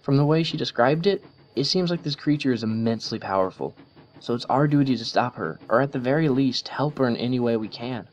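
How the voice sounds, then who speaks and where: A man's voice: medium pitch, fairly quick, a little hiss or hum, pitched above the performer's own voice, natural voice. An earnest young unicorn mare, as a man puts her on, principled and a bit lecturing, in a voice lifted above his own. The storybook reading sounds dull and lo-fi. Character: earnest studious young female unicorn pony.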